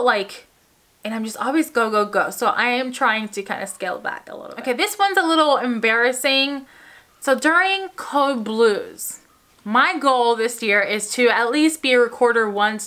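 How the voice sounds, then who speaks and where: A young woman nurse from Puerto Rico, chatting casually to camera in a quick, bubbly way with frequent fillers. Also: on the high side, sharp tone. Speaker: ICU nurse vlogger.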